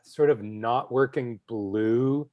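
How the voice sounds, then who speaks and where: A man giving casual feedback as a co-writer, searching for his words in a halting way. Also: mid-range voice, dry recording, narrow, tinny audio. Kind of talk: casual chat.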